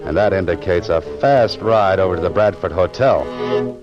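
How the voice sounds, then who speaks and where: Casting: actor for wry 1940s radio sleuth, deep-pitched, tough clipped delivery, dull old-broadcast sound. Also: male voice, moderate pace, music in the background, natural voice. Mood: brooding narration. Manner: clipped, sardonic, hard-boiled. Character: wry, tough-talking male amateur sleuth. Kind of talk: 1940s radio detective acting and narration. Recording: muffled vintage 1940s radio recording.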